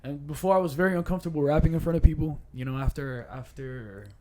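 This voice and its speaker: A man's voice: fairly high pitch, fast talker, warm tone. A man of twenty-four chatting casually as a podcast guest, with slangy, profane language. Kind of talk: casual chat.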